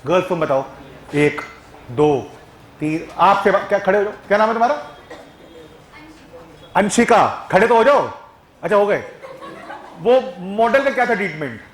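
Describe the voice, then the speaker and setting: A man's voice: high-pitched, expressive, normal speed, long pauses. A man from Punjab teaching a live grammar class, teasing and quizzing his students. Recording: echoey classroom.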